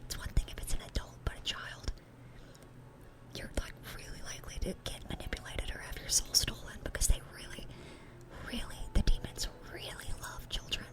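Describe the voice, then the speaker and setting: A woman's voice: normal speed, natural voice. Woman playing a whispering, dorky demon girl. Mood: earnest rambling. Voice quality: breathy, unvoiced whisper. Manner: rambling, easily sidetracked, earnest and chatty. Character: dorky, rambling, sweet-natured young demon girl. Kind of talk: ASMR roleplay, whispered monologue.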